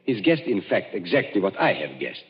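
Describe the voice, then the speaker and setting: An actor playing a suave stage magician who lays out clues in a smooth, measured, knowing way in an old radio drama. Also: male voice, low voice, big pitch swings. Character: suave middle-aged male stage magician, sleuthing. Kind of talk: 1940s radio acting. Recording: dull old radio broadcast, reverberant.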